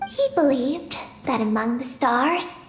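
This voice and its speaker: A woman playing a calm, knowledgeable young guide in a video game, earnest and gentle, explaining things in a measured way. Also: high voice, slight background noise. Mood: measured, informative. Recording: roomy echo, faint music behind.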